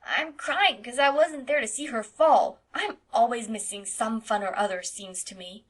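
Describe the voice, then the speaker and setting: A woman's voice: moderate pace, breathy edge. A woman voicing a mischievous eight-year-old farm boy who speaks bluntly and insistently. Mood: sobbing, aggrieved. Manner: high, loud and insistent childlike delivery.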